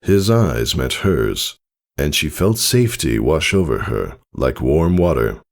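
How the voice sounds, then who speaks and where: Male story narrator: low, gravelly register, expressive dramatic reading. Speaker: audiobook narrator. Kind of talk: scripted narration of fan fiction, dramatic storytelling.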